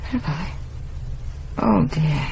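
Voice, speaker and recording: An actress playing a frail, anxious, drowsy older mother with a creaky voice, in a British radio drama. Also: deep voice, expressive, drawn-out words, a little mellow, exaggerated voice. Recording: dull lo-fi radio recording, clear noise.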